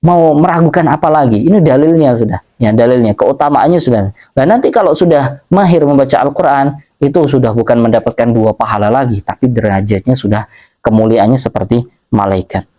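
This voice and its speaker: A male Islamic teacher giving a religious study lecture, explaining slowly, repeating phrases and reading Arabic passages aloud. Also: mid-range voice, mellow.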